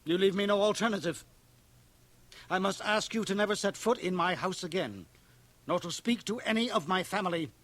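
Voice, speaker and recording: Stern, higher-pitched sermonizing preacher. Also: male voice, normal speed, fairly bright tone. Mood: outraged, severe. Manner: solemn, sermonizing, righteous. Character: stern, pious, puritanical small-town minister and father. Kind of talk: radio drama acting. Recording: 1980 radio drama from home cassette transfer.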